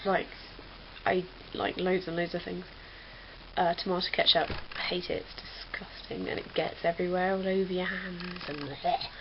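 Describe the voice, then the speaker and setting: A woman's voice: lowish voice, fairly even intonation, normal speed. A young woman from England chatting casually as a vlogger, rambling with lots of fillers. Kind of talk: casual chat. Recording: dull lo-fi webcam audio with faint hiss.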